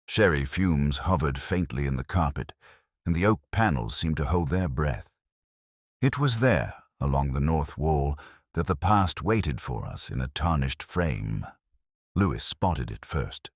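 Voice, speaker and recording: A man narrating a literary detective story in the first person, in a measured, reflective manner. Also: rumbling low, dry recording, studio quality. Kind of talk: first-person scripted mystery narration, literary audio drama.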